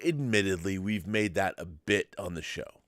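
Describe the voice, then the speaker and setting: A man's voice: low-pitched, animated, normal speed, a bit bright, no echo, clean studio sound. A man co-hosting a casual fan debate, speaking emphatically and repeating words for stress. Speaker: co-host of a pop culture discussion show.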